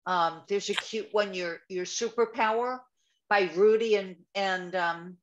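A woman's voice: medium pitch, a little slow, background noise. A chatty woman on a group video call, her voice coming through dull and lo-fi. She talks casually and trails off now and then while hunting for a name. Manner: chatty, trailing off to search for names.

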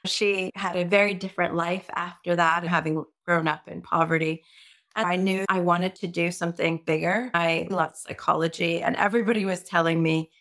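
A woman's voice: lowish voice, steady tone, moderate pace, a bit bright. A woman who grew up between Puerto Rico and the US, a career coach telling her story casually as an interview guest.

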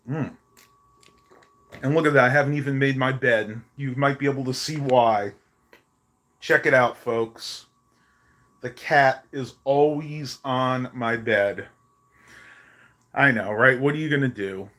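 Hoarse man rambling on a phone stream. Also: mid-range voice, measured pace, long pauses, sharp tone. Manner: rambling, many ums and uhs. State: losing his voice. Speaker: vlogger. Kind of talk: casual live stream chat. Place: small bedroom at home, indoors. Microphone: phone held at arm's length.

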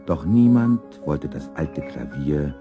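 A man narrating a scripted children's story as an expressive storyteller. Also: a bass voice, takes it slowly, over music.

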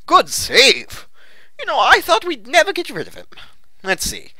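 A man playing a jaunty, self-important gentleman explorer in a comedic radio drama, with genteel, blustering politeness. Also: very high voice, huge pitch swings, unhurried, no room sound, full character voice. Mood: chummy, polite.

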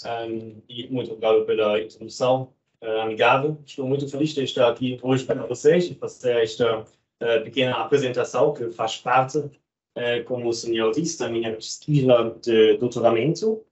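A man's voice: medium pitch, fairly even intonation, moderate pace, dull audio. A man from Germany speaking with a German accent, giving an academic presentation as a guest researcher, hesitating often with filler sounds. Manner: hesitant, many ehm fillers.

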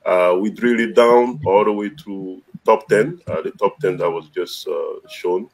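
Very deep-voiced male executive. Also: steady tone, low-quality recording. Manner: halting, many "uh" fillers, formal. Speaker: business chamber executive guest. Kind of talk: interview answers. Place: home office. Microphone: video call.

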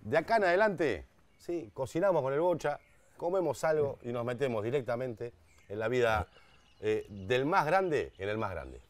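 A man's voice: medium pitch, big pitch swings, moderate pace, no room sound, far from the mic. A man in his forties with an Argentine accent, hosting an outdoor cooking show in a chatty, joking way full of slang. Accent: Argentine Spanish. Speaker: host. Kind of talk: casual cooking-show chat. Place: outdoor garden bar.

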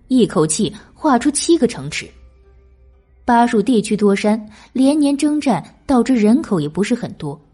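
A woman reads a historical novel with expressive, literary phrasing. Her voice sits fairly high and moves through animated intonation. The tone is mellow rather than bright, suited to dramatic storytelling. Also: husky. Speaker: audiobook narrator.

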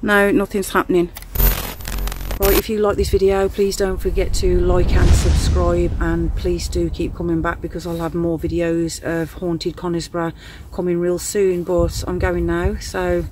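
A woman's voice: on the low side, little pitch movement, measured pace. Woman vlogging a ghost hunt outside, middle aged, chatty casual style, really noisy handheld audio. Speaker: paranormal investigator vlogger. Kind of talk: casual ghost-hunting vlog. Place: dark outdoor stone-walled alley at night. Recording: noisy outdoor handheld recording.

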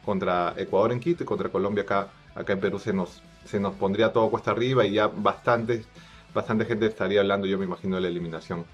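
A man with a Peruvian accent giving informal football analysis to camera, measured and a little hesitant, repeating phrases. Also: fairly low pitch, steady tone. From Peru. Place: plain indoor room. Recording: dull lo-fi sound. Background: music.

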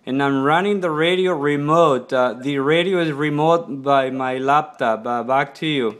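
Halting man on amateur radio. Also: fairly high pitch, a bit bright. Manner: hesitant, repetitive phrasing, speaking up toward laptop mic. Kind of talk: casual ham radio exchange. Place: indoors in a kitchen. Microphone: laptop microphone nearby.

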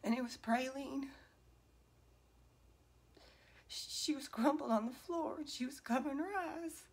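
A woman's voice: sits high, normal speed. A woman performing a dramatic monologue as a distraught, guilt-ridden single mother. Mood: anguished, angry. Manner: hushed and breathy, breaking into anguished outbursts.

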